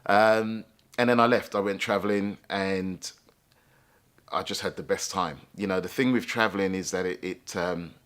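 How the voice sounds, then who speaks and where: A man with a deep, low-pitched voice tells his own life story. He speaks reflectively and thoughtfully, often pausing to find the right words. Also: fairly even intonation, fairly bright tone, smooth. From England, London. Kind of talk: personal life story told to camera.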